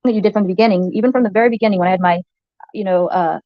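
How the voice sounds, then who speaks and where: A woman with a soft voice, rambling hesitantly in a clip from a livestream. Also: mid-range voice, very fast, dry recording, highs cut off. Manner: hesitant, many fillers and restarts.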